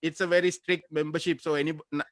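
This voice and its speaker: A man's voice: fairly high pitch, flat delivery, quick pace, a bit bright, no echo, clean studio sound. A man from Sri Lanka, a guest in a casual interview, talking loosely with many fillers and restarts. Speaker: interview guest, music podcaster.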